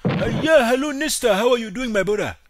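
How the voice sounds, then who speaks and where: A man's voice: very high voice, expressive, a little fast, background noise, voice pushed up. A man voicing an over-friendly young man, stammering eagerly on a phone call. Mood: bewildered. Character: excitable young Nigerian man, overly friendly.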